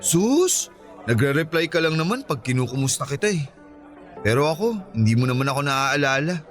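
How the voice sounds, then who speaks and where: A man's voice: a little high, sing-song, normal speed, fairly bright tone. A man acting a teasing, cocky young man in love with an old friend, in a radio romance drama. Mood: pleading, teasing. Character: Ronnie, teasing, cocky, lovestruck young male childhood friend. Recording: background music and crowd chatter.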